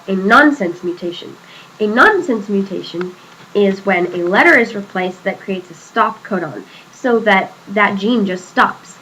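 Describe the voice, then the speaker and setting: A woman's voice: mid-range voice, a bit bright, rough recording. A teenage girl hosting a school project skit, explaining cheerfully. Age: teens. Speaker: student interviewer.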